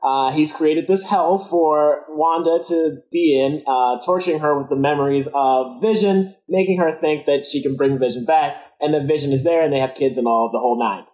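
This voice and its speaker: A man with a Midwestern accent talks through a theory on a casual panel and laughs easily. His voice sits a little high. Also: normal speed, hardly any pauses, darkish tone, poor audio. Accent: Midwestern American. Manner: chatty, laughing, builds a theory step by step.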